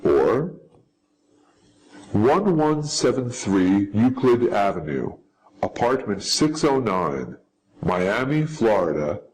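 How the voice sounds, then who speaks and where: A man reading model answers slowly and carefully in a scripted lesson. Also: fairly low pitch, darkish tone, rough recording. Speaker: English teacher.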